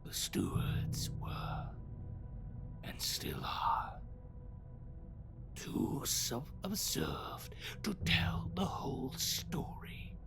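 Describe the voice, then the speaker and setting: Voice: mid-range voice, huge pitch swings, very slow, vocal fry, pauses often, full character voice. An actor playing an ancient, sinister immortal storyteller in an audio drama, theatrical, bitter and mocking, drawing out riddles and slipping into hissing whispers. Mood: brooding, hushed.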